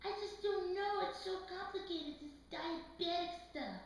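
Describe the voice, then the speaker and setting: A woman's voice: very high-pitched, unhurried. A woman voicing a confused, worried puppet patient in an educational show, with a hesitant, plaintive, slangy way of talking. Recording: very echoey room, far from mic.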